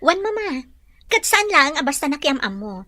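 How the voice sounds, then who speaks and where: A woman as high-voiced, lively Ilocano daughter. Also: moderate pace, no echo, full character voice. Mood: happy, eager. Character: cheerful, affectionate young woman, someone's daughter. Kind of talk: audio drama acting.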